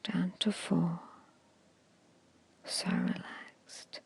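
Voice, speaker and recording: A woman guiding an ASMR meditation in a hushed, soothing, breathy whisper with short, measured phrases. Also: fairly low pitch, wildly swooping pitch. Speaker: hypnosis and meditation guide.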